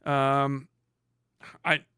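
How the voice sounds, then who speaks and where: A man's voice: mid-range voice, monotone, very slow, bright, forceful tone, clean tone, no room sound, studio quality. A man hosting a livestream, reading aloud and riffing casually in a sarcastic, mocking way with plenty of swearing. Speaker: livestream host reacting to an article.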